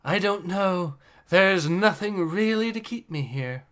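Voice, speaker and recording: A man reading aloud the lines of a reluctant young male pilot from a comic, in a flat, casual way. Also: high voice, a little slow, pitched above the performer's own voice.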